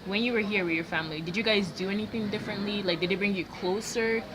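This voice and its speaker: A woman's voice: mid-range voice, steady tone, quick pace. A young woman interviewing a peer in a casual, chatty way, filling her questions with "like". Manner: chatty, lots of "like". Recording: noisy background.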